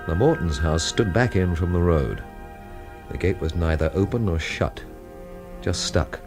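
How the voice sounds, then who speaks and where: An actor playing a cynical English investigator who narrates his own story in a deadpan, sardonic radio drama style. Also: low voice, unhurried, over music. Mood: brooding, wry.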